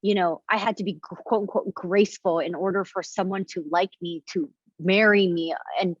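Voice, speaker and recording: A woman's voice: mid-range voice, steady tone, moderate pace, breathy edge, no room sound. Reflective woman, dull lo-fi sound. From Indonesia. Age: 30s. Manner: reflective, many fillers like "like" and restarts. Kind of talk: casual conversation.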